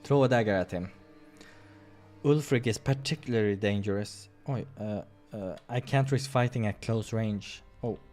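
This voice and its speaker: A man reading a visual novel aloud as a playthrough host, expressive in the storytelling with casual asides. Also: lowish voice, normal speed, faint music behind.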